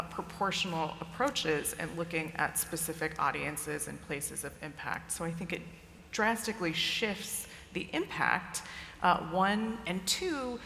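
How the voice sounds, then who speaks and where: A woman's voice: on the low side, creaky, pushed and bright, slight background noise. A woman speaking as a panelist in a conversational way with many fillers. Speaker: panelist, digital director. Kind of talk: conference panel talk. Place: large conference stage. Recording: roomy long reverb, faint ambience.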